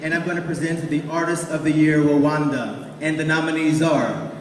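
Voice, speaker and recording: A man's voice: on the high side, steady tone, moderate pace, slight background noise. A man presenting an award live on stage, recorded from far off in a big echoey hall. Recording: echoey hall PA, distant, music behind.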